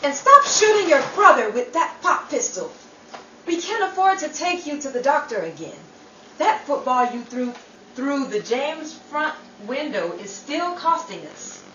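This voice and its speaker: A woman's voice: high voice, very animated, measured pace, pushed and bright, reverberant, distant mic, muffled recording, slight background noise, heavily acted. A woman playing a frazzled, exasperated housewife and scolding mother in theatrical 1930s radio comedy style. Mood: harried, shouting. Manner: theatrical, exclaiming.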